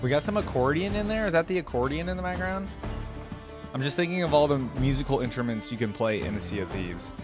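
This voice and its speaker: A man's voice: medium pitch, expressive, quick pace, music in the background. A man hosting a reaction video, giving casual off-the-cuff commentary in colloquial language as he thinks aloud.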